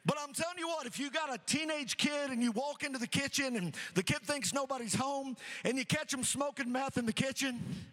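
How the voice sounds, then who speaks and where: A middle-aged man preaching an energetic sermon, repeating phrases rhythmically and calling on the congregation to answer. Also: very high-pitched, brisk, long reverb tail. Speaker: pastor. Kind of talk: energetic sermon with call-and-response.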